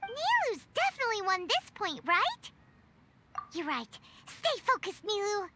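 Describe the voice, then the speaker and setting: A tiny, excitable childlike game companion, with a squeaky, very high voice. The delivery is slow and stretched with huge swings in pitch, over loud background noise. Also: female voice, full character voice. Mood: eager, surprised. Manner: exclamatory, eager. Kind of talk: video game character acting.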